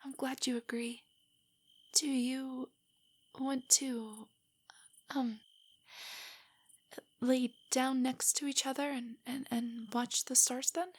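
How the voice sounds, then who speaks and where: Breathy, shy young nerdy girlfriend, acted by a woman. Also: high voice, level tone, takes it slowly, pauses often, full character voice. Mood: nervous, shy. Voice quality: soft, breathy, whispery. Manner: nervous, stammering, hesitant rambling. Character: shy, nerdy, easily flustered young adult girlfriend on a date. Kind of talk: intimate audio roleplay.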